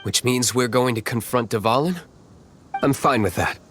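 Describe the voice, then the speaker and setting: Brief: actor as a blunt noble fighter, mid-pitched, clipped lines, faint hiss behind. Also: male voice, normal speed, a bit bright, close to an everyday voice. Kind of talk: video game cutscene dialogue.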